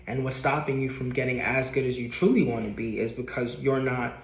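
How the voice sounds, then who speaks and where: Male vlogger, late twenties, mid-pitched, earnest motivational rambling with casual cursing, echoey room sound. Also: fairly quick. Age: 20s. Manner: earnest, rambling, swears casually, repeats himself. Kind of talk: motivational vlog talking to camera. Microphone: camera.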